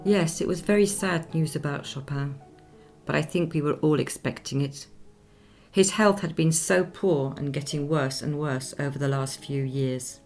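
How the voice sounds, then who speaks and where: Dignified swedish opera star in a radio drama, deep gravelly-edged voice, formal storytelling. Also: female voice, darkish tone, soft background music. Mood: sombre. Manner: measured, formal, reflective storytelling. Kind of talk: radio play monologue.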